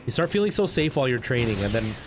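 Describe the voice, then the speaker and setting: A man's voice: mid-range voice, sing-song, fast, over music. A man chatting casually and enthusiastically with viewers as he hosts a video game livestream. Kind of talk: casual livestream chat while playing a game.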